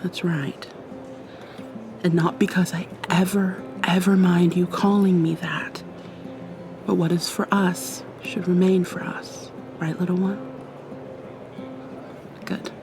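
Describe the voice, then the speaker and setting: Woman as teasing, affectionate dominant girlfriend, ASMR roleplay: lowish, very relaxed pace, intimate half-whispered delivery. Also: slow pace, pauses often, over music. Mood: tender, doting. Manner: soft, intimate, coaxing, often dropping to a whisper.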